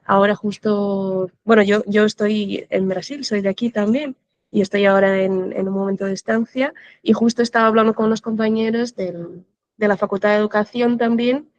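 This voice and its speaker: A woman's voice: mid-range voice, fairly even intonation, fairly quick, darkish tone, no echo. A woman moderating a conference session over a video call, talking casually and often checking in with the audience. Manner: chatty, with frequent tag questions. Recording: dull lo-fi video call audio.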